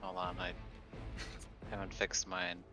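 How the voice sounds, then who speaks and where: A man's voice: deep voice, completely flat delivery, unhurried. A man playing a tabletop game, chatting casually and thinking aloud with some hesitation. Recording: slightly dull audio, music behind.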